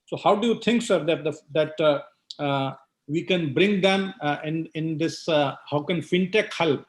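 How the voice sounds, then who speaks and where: A man's voice: on the high side, unhurried. A man moderating a panel, asking a long question with many "you know" and "uh" fillers.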